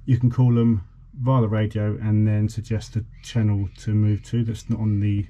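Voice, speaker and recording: A man's voice: fairly low pitch, flat delivery, normal speed, mellow. A man in his forties giving an informal, slightly hesitant explanation as he demonstrates equipment in a vlog. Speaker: vlogger. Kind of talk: informal demonstration of a boat radio. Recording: clearly noisy background.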